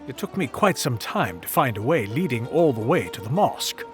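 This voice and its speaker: An actor playing a composed, polite European traveler with dry wit and a measured, understated manner in an audio drama. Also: male voice, mid-range voice, big pitch swings, soft background music, natural voice. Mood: mild, chatty.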